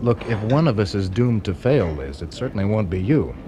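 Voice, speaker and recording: A man's voice: on the low side, sing-song, fairly quick. An actor playing a wry, friendly young male student, teasing and breezy, in a radio drama. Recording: noisy busy background ambience.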